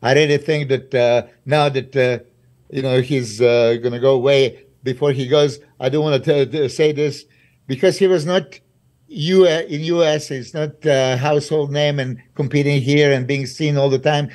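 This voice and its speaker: A man speaking as a guest on a video call, hesitant and full of pauses and fillers. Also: medium pitch, steady tone, a little mellow. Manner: hesitant, many uhs. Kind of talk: casual video-call chat.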